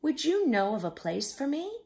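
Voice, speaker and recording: A woman's voice: high voice, big pitch swings, moderate pace, creaky, darkish tone, voice pushed up, heavily acted. A woman voicing a small, polite young female hermit crab in a storybook. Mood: polite, hopeful.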